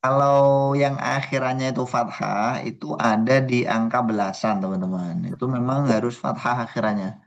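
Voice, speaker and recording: A man's voice: mid-range voice, normal speed, vocal fry, muffled recording. A male teacher running an online class, patiently explaining number words and drilling his students. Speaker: Arabic teacher.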